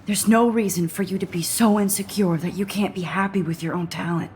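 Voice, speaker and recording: A woman voicing an earnest teenage photography student in a video game, soft and often half-whispered. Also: fairly low pitch, steady tone, fairly quick, a little hiss or hum, natural voice. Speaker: game protagonist.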